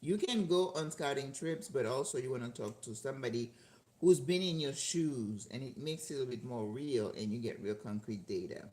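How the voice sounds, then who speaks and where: Older woman, low voice, chatty cooking host, roomy kitchen echo. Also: lively intonation, normal speed, darkish tone. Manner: chatty, talks to viewers and laughs often. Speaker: channel host cooking live. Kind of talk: casual chat while cooking. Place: home kitchen. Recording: echoey kitchen with faint background noise.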